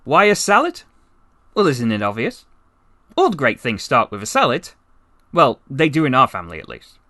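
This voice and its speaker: A man's voice: mid-range voice, huge pitch swings, normal speed, bright, forceful tone, dry recording, studio quality, full character voice. A man voicing a chatty, wry, besotted half-alien narrator who tells his comic story conversationally, with rambling asides. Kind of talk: first-person comic story narration, voice acting practice.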